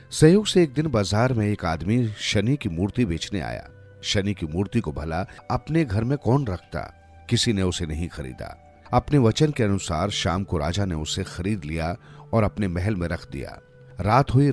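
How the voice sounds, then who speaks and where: A man with a low-pitched voice tells moral stories from a script. His delivery is measured and expressive, with lively swings in intonation, and faint music plays underneath. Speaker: storyteller narrator. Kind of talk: scripted narration of moral tales.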